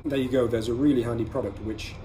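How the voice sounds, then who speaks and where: Man, average pitch, casual review host, conversational explainer, short measured phrases, noticeably noisy ambient recording. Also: steady tone, mellow. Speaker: podcast host reviewing everyday carry products. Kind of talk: casual product review monologue. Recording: clearly audible ambient background noise.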